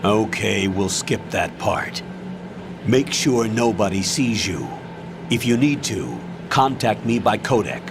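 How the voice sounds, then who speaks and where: Stern low-voiced military commander, music underneath. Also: male voice, expressive, gravelly, heavily acted. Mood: businesslike, commanding. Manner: authoritative, clipped, measured delivery. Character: stern older male military commander briefing an agent. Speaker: commanding officer. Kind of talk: scripted mission briefing, video game acting. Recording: loud music and effects underneath.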